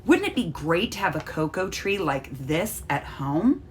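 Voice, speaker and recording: A woman voicing an excited, friendly traveling bunny in a children's storybook read-aloud, with expressive delivery. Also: on the low side, a little slow, a little hiss or hum. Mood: chatty, amazed.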